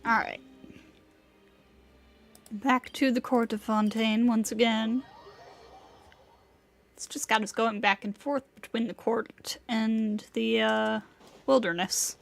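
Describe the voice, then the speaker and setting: A woman gives casual, playful commentary on a game stream. Her voice sits a bit high and has a lot of creak, over game music. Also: fairly even intonation, slow pace, long pauses. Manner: playful, talks back to the game. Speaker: game livestreamer.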